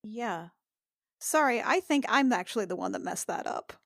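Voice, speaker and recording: A woman playing a tabletop roleplaying game, improvising dialogue in a casual, halting, informal way. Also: mid-range voice, animated, creaky, fairly bright tone, no room sound, clean studio sound.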